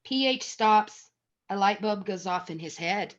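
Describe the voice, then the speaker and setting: A woman's voice: mid-range voice, measured pace. A woman reading stage directions as the narrator of a screenplay table read. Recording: dull, lo-fi audio.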